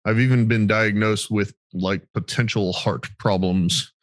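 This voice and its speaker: Low-voiced American man, dry close sound. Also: fairly even intonation, a little slow, no echo. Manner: informal, sweary. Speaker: podcast host, military veteran. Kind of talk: casual chat, storytelling.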